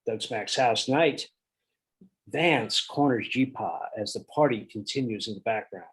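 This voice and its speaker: A man's voice: medium pitch, a little slow, breathy edge, poor audio. A man reading the stage directions aloud at a table read in a plain, matter-of-fact way.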